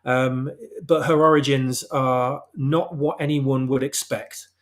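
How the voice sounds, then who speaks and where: A man's voice: medium pitch, steady tone, slow pace. A man speaking as a guest author in a casual interview, explaining his work in an emphatic, opinionated way. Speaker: guest author of fan fiction. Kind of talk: casual interview chat about his own story.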